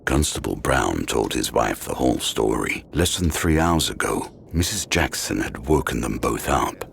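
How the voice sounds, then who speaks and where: A man's voice: extremely low pitch, expressive, normal speed, gravelly, slight background noise, heavily acted. A male narrator telling a suspenseful horror audio drama in a grave, dramatic, ominous manner. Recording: loud music under the narration.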